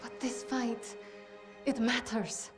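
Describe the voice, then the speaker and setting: A woman's voice: on the high side, level tone, slow, over music. A woman playing a stubborn, wry young Soviet sharpshooter in a game cutscene, sardonic and spirited. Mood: stubborn, teasing. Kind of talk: video game cutscene acting.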